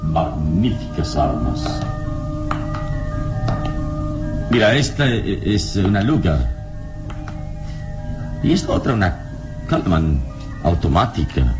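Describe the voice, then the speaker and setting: An actor playing a calm, erudite adventurer hero in an old-time radio drama, speaking in a measured, deliberate, didactic way. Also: male voice, sits low, music in the background, full character voice. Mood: watchful.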